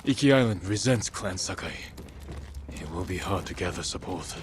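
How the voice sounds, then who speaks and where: A stoic, guilt-ridden young samurai from a video game. His voice is lowish and very changeable in pitch, his lines short and earnest. Clear background noise sits behind him. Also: expressive, moderate pace. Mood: stoic, guarded. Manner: terse, clipped sentences.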